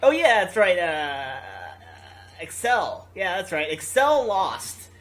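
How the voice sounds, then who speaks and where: A gaming video host who reads a visual novel aloud with casual, goofy, excitable asides. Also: medium pitch, takes it slowly. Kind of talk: casual playthrough commentary and read-aloud.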